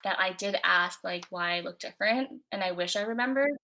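Bright-voiced young American woman, chatty. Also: mid-range voice, brisk. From United States. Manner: chatty, many "like" and "you know" fillers. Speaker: interview guest. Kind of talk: casual conversation.

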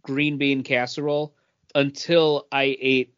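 A man's voice: mid-range voice, little pitch movement, measured pace, fairly bright tone, smooth. A man co-hosting a podcast, casually telling anecdotes. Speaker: podcast co-host. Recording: dull, muffled audio.

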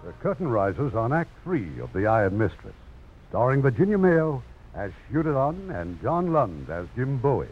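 A man narrates an old radio drama with theatrical formality. His pitch moves about a lot. Music is heard, and the recording is dull. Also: sing-song, moderate pace.

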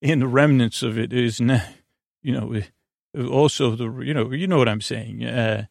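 A man from the United States with a gravelly, creaky voice, hosting a bedtime podcast in a halting, meandering, tangent-prone monologue. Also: medium pitch, dry recording, clean studio sound. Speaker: podcast host.

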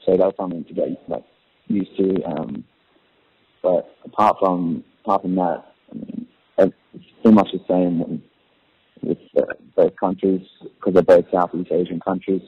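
A young man, a professional footballer, answering interview questions in a relaxed, hesitant way with many ums. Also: deep voice, husky. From Australia. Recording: tinny phone-line audio.

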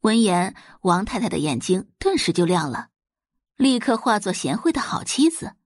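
A woman's voice: medium pitch, sing-song, moderate pace, dry recording, clean studio sound. A woman reading scripted dramatic narration as the narrator of an audio drama.

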